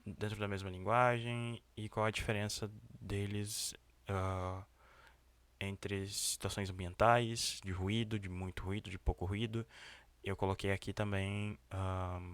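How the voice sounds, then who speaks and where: A man's voice: low-pitched, a bit bright, dry recording. A young man presenting a student research project, walking through his code and report in a casual, hesitant way full of fillers and restarts. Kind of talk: casual screen-share walkthrough.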